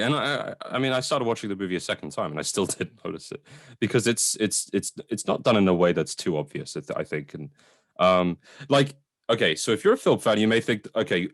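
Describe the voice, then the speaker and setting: A man's voice: lowish voice, no room sound, clean studio sound. A man chatting casually as a film review co-host, repeating his words to press a point.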